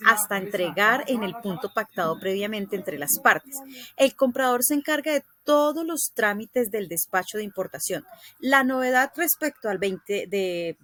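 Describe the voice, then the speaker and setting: A woman presenting a scripted explanatory lesson, reading aloud in a didactic way with occasional stumbles. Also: mid-range voice.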